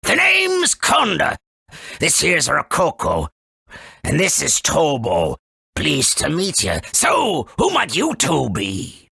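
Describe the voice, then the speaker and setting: A man voicing a folksy, cautious elder of a furry forest tribe in a video game, with a gravelly, husky voice and dramatic storytelling manner. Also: fairly high pitch, huge pitch swings, slow pace, sharp tone, no room sound, clean studio sound, exaggerated voice. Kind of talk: video game character acting.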